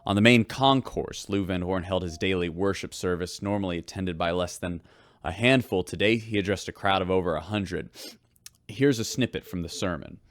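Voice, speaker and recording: An actor playing a wry, weary spaceship radio host, reporting in a measured way in a sci-fi audio drama. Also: male voice, sits low, natural voice. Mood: weary, concerned.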